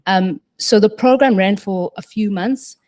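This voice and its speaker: A woman's voice: medium pitch, a little slow, darkish tone. A woman answering as a panelist, hesitant, with many fillers and restarts.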